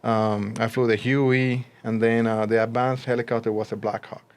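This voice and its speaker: A man's voice: mid-range voice, level tone, normal speed, clear, resonant tone. A man in his forties from Puerto Rico, with a Puerto Rican accent, answering interview questions in a conversational way as a guest. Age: 40s. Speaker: interview guest, realtor and army veteran.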